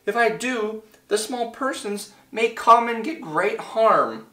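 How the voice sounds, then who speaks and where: A man voicing a big, gentle, protective elephant who talks earnestly in a children's storybook. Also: medium pitch, expressive, unhurried, roomy sound. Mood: anxious, resolute.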